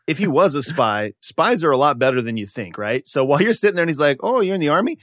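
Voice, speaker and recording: A man's voice: a little high, big pitch swings, fast, fairly bright tone, dry recording, studio quality. A man bantering casually on a comedy podcast, often acting out imagined conversations. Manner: joking, acts out imagined dialogue. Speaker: podcast co-host.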